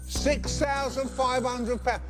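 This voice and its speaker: A middle-aged man with a Cockney accent, bantering and joking loudly on a car restoration show. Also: very high-pitched, slow, over music. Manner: jokey, excitable, loud exclamations.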